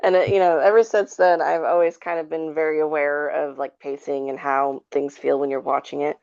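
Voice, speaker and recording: A woman's voice: low voice, flat delivery, brisk, darkish tone, clear, resonant tone, lo-fi sound. A woman chatting casually as a guest on a podcast.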